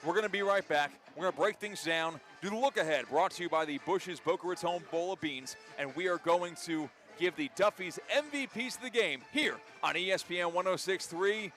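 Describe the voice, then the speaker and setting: A man's voice: high voice, fairly quick, hardly any pauses, fairly bright tone, faint music behind. A man with an American accent calling a live game as a play-by-play announcer.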